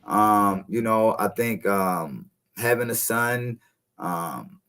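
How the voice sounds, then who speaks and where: A man in his thirties, a guest coach, speaking in a relaxed, reflective way and often adding "you know what I mean". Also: lowish voice, takes it slowly, smooth. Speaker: podcast guest, encouragement coach. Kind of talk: reflective casual conversation.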